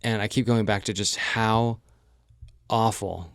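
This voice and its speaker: A man's voice: fairly low pitch, steady tone, unhurried, fairly bright tone, no echo, clean studio sound. A man co-hosting a podcast, chatting loosely with lots of fillers, swearing and jokes. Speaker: podcast co-host. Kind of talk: casual chat.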